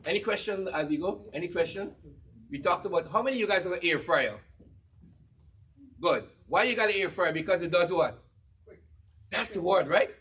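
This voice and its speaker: Guyanese man pitching cookware to a crowd. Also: moderate pace, long pauses, distant mic, slight background noise. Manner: energetic, asks the audience questions and repeats key phrases. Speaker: cookware presenter at a church gathering. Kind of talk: live demonstration talk to an audience.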